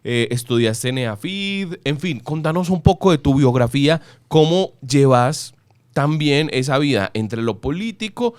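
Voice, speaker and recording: A man's voice: on the high side, lively intonation, measured pace, smooth. A man with a Colombian paisa accent from Medellín, interviewing in a casual, informal, conversational way. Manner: informal, addresses guest with vos.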